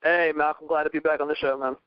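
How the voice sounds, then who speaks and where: A man's voice: a little high, little pitch movement, fast, clean tone, no echo, dull audio. A man giving his opinions as a panel guest, casual, explanatory and long-winded.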